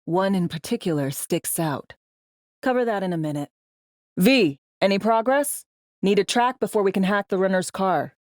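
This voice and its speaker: A woman acting a brisk, sardonic, foul-mouthed veteran secret agent in video game dialogue, with dry, clipped, conversational phrasing. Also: fairly low pitch, a little mellow, no echo, lightly acted. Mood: reflective, then businesslike.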